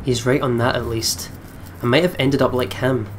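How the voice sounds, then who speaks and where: A man's voice: medium pitch, fairly even intonation, normal speed, heavy background noise. Young man dramatically reading game narration. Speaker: let's play host.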